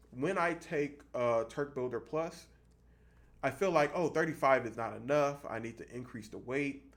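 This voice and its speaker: Gravelly man in his thirties, explaining casually. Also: mid-range voice. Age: 30s. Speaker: fitness vlogger. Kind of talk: casual explanatory monologue to camera.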